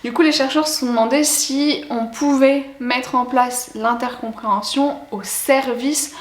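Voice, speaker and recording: A woman with a fairly high voice gives an explanatory educational talk. She addresses her viewers directly in a didactic, instructional way. The recording is clearly roomy, with noticeable echo around her voice. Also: fairly even intonation, measured pace. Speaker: language teaching presenter. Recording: echoey, roomy sound.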